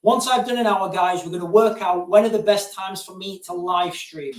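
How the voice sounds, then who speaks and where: Male streamer, high voice, loose digressive chat, set back from the mic in a roomy space. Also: moderate pace. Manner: digressive, keeps addressing viewers as guys. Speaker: live-stream host answering viewer questions. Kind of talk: casual rambling live-stream chat. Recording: roomy sound, distant from mic.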